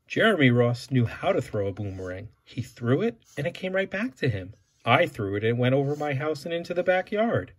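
A man's voice: mid-range voice, sing-song, a little fast, few pauses, husky. A father reading a children's picture book aloud, bringing the characters' quoted lines to life as he goes. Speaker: father reading to his son.

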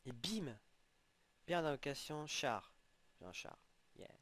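A young man with a head cold, sniffling, casually commenting as he plays an online game and reacting excitedly to what he gets. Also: on the high side, slow. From French-speaking. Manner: chatty, exclaiming at in-game rewards. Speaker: gaming channel host.

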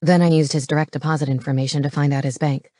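A woman acting out a gruff, intelligent man from an audiobook, pitched low. He is terse and intense, laying out facts plainly. The sound is close and dry. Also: fairly even intonation, fast, warm tone, no echo. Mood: focused. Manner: terse, matter-of-fact. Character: gruff, brainy comic-book-fan man, terse and intense. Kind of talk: audiobook character dialogue.

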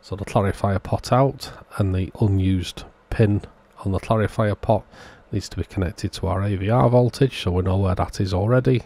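Low-pitched, mellow man narrating a repair. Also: normal speed, hardly any pauses. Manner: matter-of-fact, step-by-step explaining. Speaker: host of a radio repair channel. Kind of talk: informal scripted-sounding voice-over narration of a repair.

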